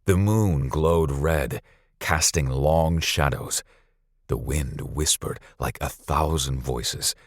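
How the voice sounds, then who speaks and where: A man narrating a scripted story dramatically, with a suspenseful, deliberate delivery. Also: very deep voice, dry recording, studio quality.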